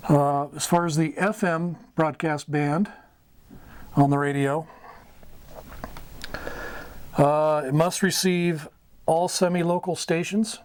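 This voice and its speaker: A middle-aged man giving a casual tutorial as host, speaking haltingly in short phrases with pauses. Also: fairly high pitch, fairly even intonation. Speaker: host of a hobby radio tutorial. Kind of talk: casual whiteboard explainer. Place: small wood-paneled indoor room. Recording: clear background noise, room ambience.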